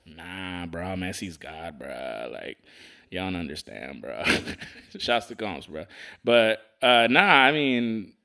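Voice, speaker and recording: A man's voice: low-pitched, sing-song, slow, vocal fry, sharp tone. A man hosting a podcast, talking in a casual, slangy, profane way and telling stories with animation. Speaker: podcast host.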